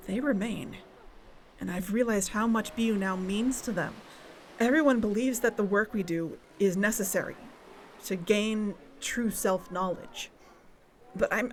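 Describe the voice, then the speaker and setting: A woman acting a guarded, thoughtful young investigator in a naturalistic audio drama, speaking in a measured, hesitant, introspective way. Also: medium pitch, a little hiss or hum, close to an everyday voice. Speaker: podcast narrator and lead character. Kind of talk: naturalistic audio drama, reflective narration and dialogue.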